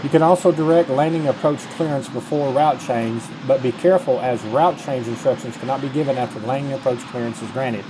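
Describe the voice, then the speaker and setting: A man's voice: mid-range voice, brisk, hardly any pauses. Middle-aged man, noisy recording. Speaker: gaming video host. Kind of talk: casual gameplay commentary, reading tutorial text aloud. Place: indoors at a computer, webcam.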